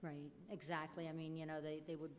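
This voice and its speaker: A woman being interviewed as a guest on stage, chatting in a halting, agreeable, conversational way. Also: low-pitched, level tone, slight background noise. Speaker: guest singer-songwriter. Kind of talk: casual on-stage interview chat. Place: on stage in a theater. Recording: live theater hall with audience.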